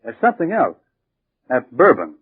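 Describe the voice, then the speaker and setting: A man's voice: medium pitch, wildly swooping pitch, moderate pace, breathy edge, dry recording, full character voice. An actor playing a worried, hesitant, cautious man at a mining camp, in 1940s radio drama style. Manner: hesitant, concerned. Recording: vintage radio, narrow tinny band.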